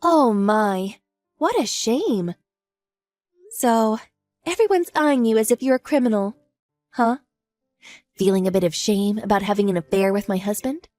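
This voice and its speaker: A woman playing a cold, scheming wife who mocks her husband's lover in a slow, sneering way. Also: medium pitch, animated, darkish tone, dry recording, soft background music, close to an everyday voice. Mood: mocking. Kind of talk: audio drama acting.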